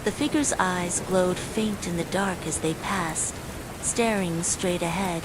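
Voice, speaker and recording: A woman reading a scripted bedtime horror story in a measured, suspenseful way, as a storyteller. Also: lowish voice. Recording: steady loud rain noise throughout. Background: falling rain.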